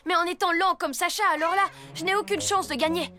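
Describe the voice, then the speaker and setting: A woman's voice: very high-pitched, quick pace. A woman playing an eager, impulsive six-year-old boy superhero in a cartoon, with an emphatic delivery. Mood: boyish, earnest. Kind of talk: animated cartoon dub acting.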